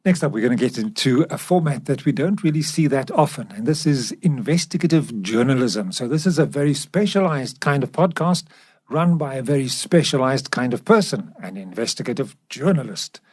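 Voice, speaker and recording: A man's voice: medium pitch, lively intonation, brisk, darkish tone, breathy edge. A man co-hosting a podcast, introducing and explaining a segment. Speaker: podcast co-host.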